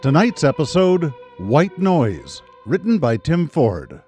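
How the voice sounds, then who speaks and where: Actor as an ominous, expressive male radio narrator. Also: on the high side, takes it slowly, full character voice. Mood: ominous, measured. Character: ominous host narrator of an eerie anthology. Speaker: narrator of a radio drama anthology. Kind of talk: dramatic scripted radio narration. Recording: live radio studio broadcast with music. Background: music.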